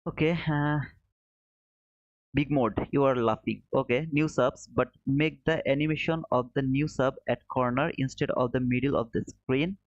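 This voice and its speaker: Casual male livestream host, friendly and chatty, mid-pitched, on a dull dry mic. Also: fairly even intonation, moderate pace, dry recording. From Bangladesh. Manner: friendly and chatty, calls viewers brother, says boom. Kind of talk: casual live-stream commentary, reading viewer chat. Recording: dull, slightly muffled, dry.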